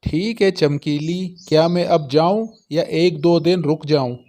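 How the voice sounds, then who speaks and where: A man plays a henpecked crow husband in a children's cartoon. He is questioning and deferential, speaking slowly in a slightly high male voice. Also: warm tone, close to an everyday voice. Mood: hesitant, deferential.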